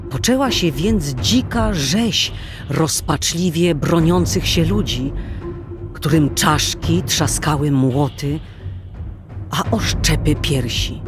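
A female narrator tells an old tale in a solemn, dramatic way. She takes her time, and music with drums plays clearly behind her. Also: deep voice.

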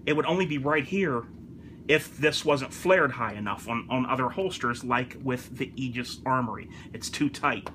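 A man's voice: medium pitch, normal speed, fairly bright tone, a little hiss or hum. A man talking casually to camera as a hobbyist presenter, informal and repeating his points for emphasis. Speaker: gun enthusiast presenter. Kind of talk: casual product review to camera.

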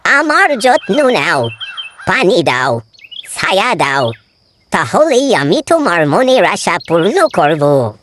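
A woman's voice: on the low side, very animated, takes it slowly, a bit bright, slight background noise, voice pushed down, exaggerated voice. A woman voicing a stern magical talking tree in a cartoon fable, commanding and admonishing.

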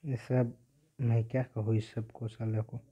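A man's voice: mid-range voice, little pitch movement, measured pace, dark tone, slightly rough. A man giving casual commentary as a gaming YouTuber while he plays. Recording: dull, lo-fi microphone sound.

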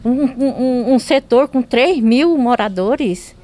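Halting Brazilian woman at a street protest. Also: high voice, expressive, mellow. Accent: Brazilian Portuguese. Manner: halting, repeats herself, earnest complaint. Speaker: resident at a protest. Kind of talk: street interview. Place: outdoors at a health post door.

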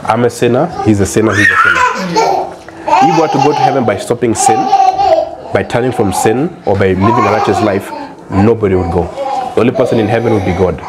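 A young man with a Kenyan accent and a somewhat low voice talks earnestly to a woman at a doorway. He slows down whenever he reads a verse from the Bible. Outdoor noise from the street sits behind him. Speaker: street evangelist. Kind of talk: doorstep soulwinning conversation. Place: outdoor building entrance. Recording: noisy outdoor ambience.

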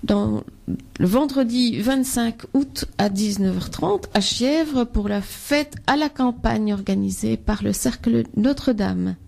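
A woman's voice: fairly high pitch, creaky. A woman co-hosting a radio show, steadily listing concert dates and places. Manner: steady listing, fills pauses with euh. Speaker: radio co-host. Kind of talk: reading out a concert schedule.

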